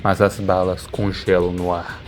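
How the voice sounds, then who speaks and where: A man's voice: low voice, flat delivery, a little slow, soft background music. A man with a Brazilian accent reading dramatic scripted narration.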